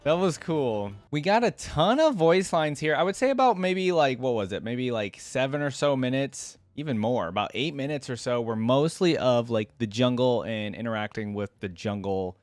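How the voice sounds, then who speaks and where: A man's voice: mid-range voice, animated, moderate pace, few pauses, no room sound, faint music behind. A man giving casual, informal reaction commentary as a video host, often checking in for agreement. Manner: chatty, informal, often seeks agreement with "right?".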